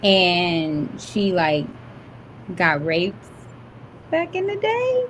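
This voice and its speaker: A young woman with a high, light voice talks in a relaxed, giggly way, filling her sentences with "like" and "um." A faint hiss runs under the recording. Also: very slow, poor audio. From Detroit. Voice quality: high-pitched voice. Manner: laid-back, giggly, lots of "like" and "um". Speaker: author, podcast guest. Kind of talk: casual chat.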